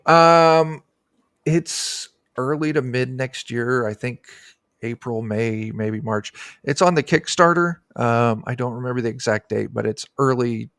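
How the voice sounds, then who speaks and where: A middle-aged man talks in an informal, rambling and enthusiastic way. His voice has an ordinary male pitch and is recorded dry, as on an indoor video call. He sounds like a designer explaining his game. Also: measured pace, fairly bright tone, clear, resonant tone, no echo. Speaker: game designer, podcast guest. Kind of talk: casual explanatory chat about a tabletop game.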